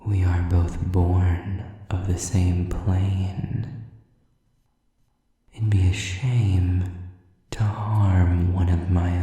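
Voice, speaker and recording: A very dark, velvety voice of a cruel demon, acted out by a man, drips out at a crawl. He whispers with cold, possessive menace, savoring each taunt. It is an intimate roleplay, yet the sound is set back from the mic. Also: low voice, heavy reverb, distant mic, heavily acted. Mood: cool contempt. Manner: slow, deliberate, breathy whispered menace, taunting. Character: sadistic male demon, cold, menacing, mockingly possessive predator. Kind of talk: intimate audio roleplay acting.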